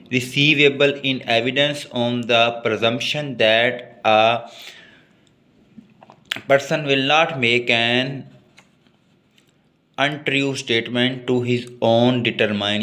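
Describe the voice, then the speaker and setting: A man's voice: mid-range voice, sharp tone. A man with a Pakistani accent giving an exam-focused lecture, switching between Urdu and English as he reads passages and explains them. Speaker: law lecturer.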